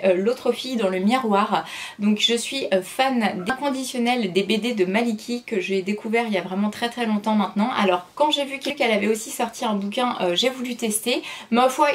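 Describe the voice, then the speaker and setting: A woman's voice: fairly high pitch, a little hiss or hum. A woman in her thirties chatting casually through a product review, full of hesitations and restarts. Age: 30s. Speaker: vlogger.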